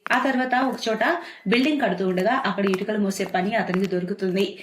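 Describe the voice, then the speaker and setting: A woman's voice: mid-range voice, steady tone, brisk, a little hiss or hum. Woman storyteller with a roomy echo. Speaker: narrator. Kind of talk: scripted storytelling narration.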